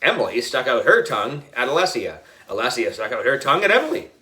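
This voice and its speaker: Animated, bright-voiced man reading a story. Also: medium pitch, quick pace. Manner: playful, expressive, exaggerated. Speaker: audiobook reader. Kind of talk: animated children's story narration.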